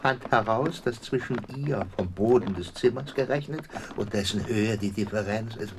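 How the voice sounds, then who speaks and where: An actor in a German radio drama playing an eccentric, excitable, whiny gentleman who repeats himself and dwells theatrically on his words. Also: on the low side, moderate pace, noisy background, natural voice. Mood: savouring, reverent. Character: eccentric, excitable, whiny gentleman torn between awe and resentment.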